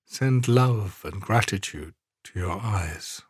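A man guiding a scripted relaxation meditation in a calm, soothing, measured way, leaving long pauses. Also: fairly low pitch, husky, no echo, studio quality. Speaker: meditation guide, podcast host. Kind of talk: guided yoga nidra relaxation meditation, scripted narration.

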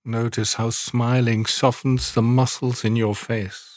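Slow, calm man guiding meditation. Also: medium pitch, no room sound. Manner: calm, measured, soothing, with long pauses. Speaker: meditation guide, podcast host. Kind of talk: guided yoga nidra relaxation meditation, scripted narration.

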